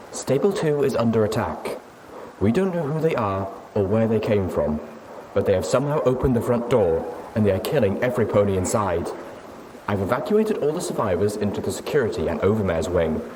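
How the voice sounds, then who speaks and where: A man's voice: fairly low pitch, close to an everyday voice. A man voicing a desperate mare leader who pleads urgently in a distress broadcast. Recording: clearly noisy recording.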